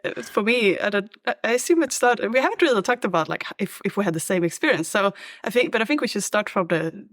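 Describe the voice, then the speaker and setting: A woman's voice: mid-range voice, expressive, fast, hardly any pauses, dry recording. Woman, 30s, Swedish accent, casual webcam chat, dry close sound, lots of fillers. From Sweden. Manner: chatty, many "like" fillers, laughs easily. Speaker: app developer, co-host. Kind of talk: casual video-call chat. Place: indoor apartment room by a large window. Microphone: video call webcam.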